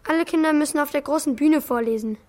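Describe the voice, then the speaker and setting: An actor in a children's radio play voicing an excitable, shy young schoolboy with a candid, hesitant manner. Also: sits high, quick pace, natural voice. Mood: eager.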